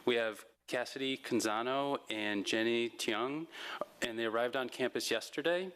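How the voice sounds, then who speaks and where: A man with a middle-pitched voice hosts a community meeting. He delivers informal announcements and introduces people to the group in a plain speaking style. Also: normal speed, a bit bright, clean tone. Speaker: event host introducing speakers.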